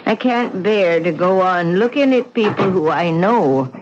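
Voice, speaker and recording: Old widow prophet of doom, slow and insistent, dull old radio sound. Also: female voice, lowish voice, expressive. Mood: foreboding. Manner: grim, insistent warnings. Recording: muffled old radio recording, faint hiss.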